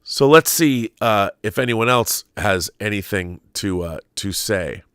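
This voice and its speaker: American man, talk host, bright forceful voice, dry close sound, halting and emphatic, conversational commentary. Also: lowish voice, lively intonation, clean tone, dry recording. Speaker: show host reading viewer questions live. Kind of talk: conversational live-stream commentary, reading aloud and riffing.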